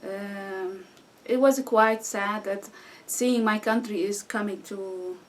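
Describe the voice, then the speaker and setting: A woman's voice: medium pitch, fairly even intonation. Syrian woman, 30s, stops and restarts a lot in english, mic kinda far off. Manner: halting non-native English with restarts and fillers. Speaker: guest. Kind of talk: conversational interview answers. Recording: distant mic with faint background noise.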